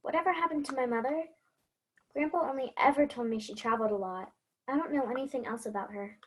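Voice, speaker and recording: A woman's voice: high voice, quick pace, slightly rough. A woman playing an earnest, hopeful little girl hero in a fairy-tale stage play. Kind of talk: stage play acting and storybook narration. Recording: dull, muffled lo-fi sound.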